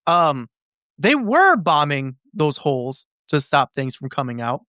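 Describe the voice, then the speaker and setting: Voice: medium pitch, sing-song, unhurried, bright, forceful tone, no echo, clean studio sound. A podcast host recapping things in a casual, comedic way, with slangy, profane, jokey storytelling.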